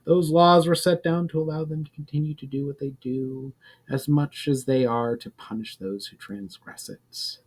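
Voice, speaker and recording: A man voicing a wise, mysterious woman guide in a fantasy story, explaining in a formal, measured manner. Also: on the high side, fairly even intonation, slightly rough. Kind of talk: fantasy audiobook dialogue.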